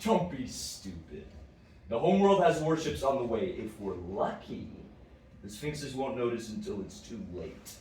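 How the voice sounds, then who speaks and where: An actor of unclear sex playing a stern, dismissive alien time traveler in a science fiction audio drama, curt and deliberate. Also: mid-range voice, big pitch swings, darkish tone, far from the mic. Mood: curt, scornful. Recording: very echoey, off-mic, faint noise.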